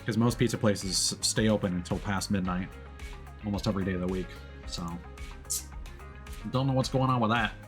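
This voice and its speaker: A man with a fairly low voice chats casually as a live streamer. He is talkative but hesitant, letting phrases trail off into pauses. Music plays behind him.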